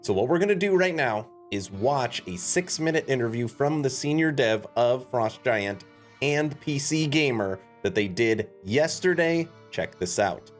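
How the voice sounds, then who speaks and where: A man streams his reactions in a casual, conversational way. His voice sits at a middle pitch, with faint music playing behind him. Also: lively intonation, measured pace. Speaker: streamer reacting to a game announcement. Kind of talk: casual live reaction commentary.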